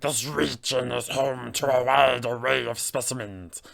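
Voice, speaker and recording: Tabletop game villain: tiny alien mind flayer, heavy croaking fry, unhurried, cold, stiffly formal and ominous. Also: male voice, mid-range voice, fairly even intonation, a crowd in the background. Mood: grave, measured. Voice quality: gravelly, creaky. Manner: slow, stilted, formal speech. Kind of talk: game villain roleplay monologue.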